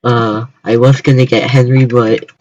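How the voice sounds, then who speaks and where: A man's voice: mid-range voice, flat delivery, a little mellow. Young american guy chatting on stream, keeps restarting sentences, kinda dull lo-fi mic. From United States. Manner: halting, repetitive starts. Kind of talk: casual chat on a livestream.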